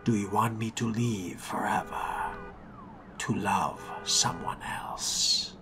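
A man voicing a suave, possessive vampire with refined, theatrical diction and a breathy, whispery delivery in a game roleplay. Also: fairly low pitch, slow, noisy background. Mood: cool, commanding. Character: suave, possessive male vampire fledgling, menacingly tender. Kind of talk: in-character roleplay narration of a video game. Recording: music behind the voice.

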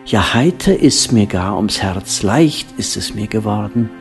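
A man reciting a solemn poetic audio drama in a hushed, breathy manner, with drawn-out phrases. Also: lowish voice, lively intonation. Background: new-age instrumental music.

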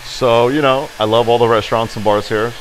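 A man's voice: medium pitch, brisk, slight background noise, poor audio. A man in his thirties who owns a barbershop, talking casually with lots of fillers, recorded in his shop. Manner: relaxed, chatty, with many fillers. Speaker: barbershop owner. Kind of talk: casual interview answers. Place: barbershop interior.

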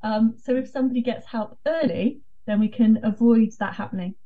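A woman's voice: a little high, a little fast. A woman with a British accent explaining things as a guest in a radio interview. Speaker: interview guest, dyscalculia network co-founder. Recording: dull, slightly distant remote link.

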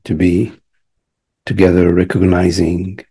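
A man leads a guided meditation in a very husky, breathy voice with a dark, relaxed tone. He speaks very slowly and gently, in short hushed phrases with long pauses left between them. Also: sits low. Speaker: meditation teacher.

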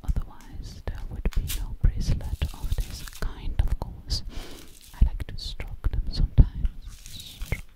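Woman as a slow, whispering, sinister witch. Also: close to an everyday voice. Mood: quietly sinister. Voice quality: breathy whisper. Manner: slow, lingering, repeats words hypnotically. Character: sinister, vain female witch posing as ASMR artist. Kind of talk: ASMR roleplay, close whispering. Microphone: close microphone.

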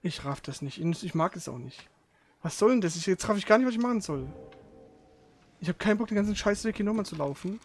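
A man's voice: sits high, animated, a little fast, soft background music. A man commenting casually on a video game as he plays, grumbling and swearing in frustration.

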